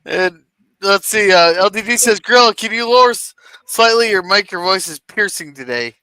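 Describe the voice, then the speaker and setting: High bright-voiced man hosting a stream. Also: animated, normal speed, smooth, dry recording. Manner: laughs often, talks loudly. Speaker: stream host. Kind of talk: casual chat on a live stream.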